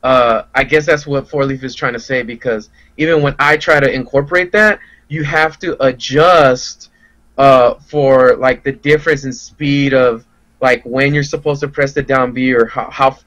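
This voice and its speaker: A man's voice: medium pitch, steady tone, moderate pace, a bit bright, low-quality recording. A man giving his impressions in a casual discussion as a guest on a gaming podcast.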